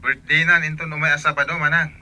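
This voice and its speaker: A man's voice: fairly high pitch, flat delivery, fairly quick, bright, forceful tone, poor audio. A man playing a pushy younger brother in a radio drama, wheedling, with a Filipino accent. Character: pushy younger brother asking his sister for money.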